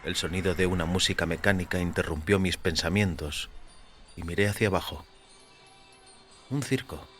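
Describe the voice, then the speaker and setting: A male audio fiction narrator with a very low, forceful voice. He reads in a measured, literary and dramatic style, deliberate in phrasing, with music playing behind him. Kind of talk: dramatic scripted story narration.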